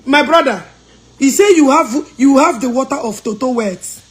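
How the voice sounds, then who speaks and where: A woman's voice: high voice, animated, normal speed, a crowd in the background. A Nigerian woman hosting a live call-in chat, scolding callers and mixing English with Igbo. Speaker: live show host.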